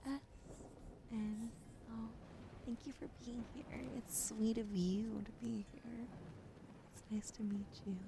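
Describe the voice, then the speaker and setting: A woman whispering softly and sleepily on an ASMR livestream. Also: medium pitch, gravelly, noisy background. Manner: soft, slow, sleepy whispering. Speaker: ASMR streamer.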